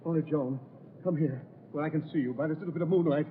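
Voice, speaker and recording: A nervous, guilty swindler of a bridegroom played by a man, pitched a little high. He blusters and frets with full vintage radio horror melodrama. The old recording is somewhat dull, with light noise behind the voice. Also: fast talker, natural voice.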